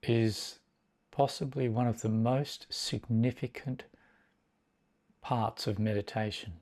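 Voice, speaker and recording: A man leading a guided meditation as a teacher, speaking in a measured, deliberate way with long pauses between short phrases. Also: medium pitch, fairly even intonation, darkish tone.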